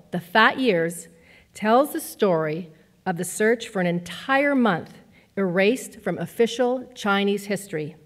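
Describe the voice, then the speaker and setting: A woman hosting a literary event on a theatre stage, reading housekeeping announcements and a speaker introduction from a script. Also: medium pitch. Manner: brisk, reads from a prepared script. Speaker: event host.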